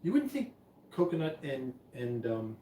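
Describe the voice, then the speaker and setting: A man's voice: medium pitch, very animated, measured pace, distant mic, noisy background. Chatty man in an echoey room. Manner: rambling, chatty, many restarts. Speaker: livestream host mixing drinks. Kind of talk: casual live chat.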